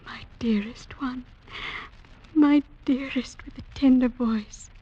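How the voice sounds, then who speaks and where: Sweet breathy young woman pleading w her man, kinda high, old radio sound. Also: fairly even intonation, slow pace, full character voice. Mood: tender pleading. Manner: soft, pleading, often whispered. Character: tender young woman, the blind hero's beloved. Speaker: actress in a radio drama. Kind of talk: 1940s radio acting. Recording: dull lo-fi old radio recording.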